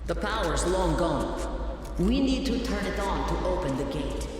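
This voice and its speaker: A woman's voice: lowish voice, expressive, moderate pace, lightly acted. An actress playing a guarded young woman surviving in a wasteland, speaking in terse, solemn phrases in a dramatic video game. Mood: businesslike. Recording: loud ambient and vehicle noise behind.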